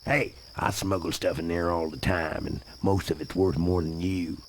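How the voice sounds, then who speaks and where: An actor playing a shady, folksy trucker and smuggler, with a gruff, cocky, colloquial way of talking. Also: male voice, sits low, fairly even intonation, normal speed, a little hiss or hum, natural voice. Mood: cocky, scheming. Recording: loud music behind, game audio.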